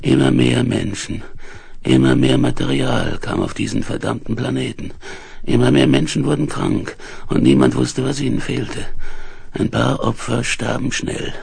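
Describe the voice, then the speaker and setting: An actor playing a dying, delirious space explorer in a radio play, halting and weak, with breath sinking into whispers. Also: male voice, mid-range voice, lively intonation, creaky, darkish tone, husky, exaggerated voice. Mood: agitated, bitter. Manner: slow, halting, laboured delivery. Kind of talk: 1970s German radio play acting, dramatic monologue. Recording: hissy, humming 1970s vinyl recording.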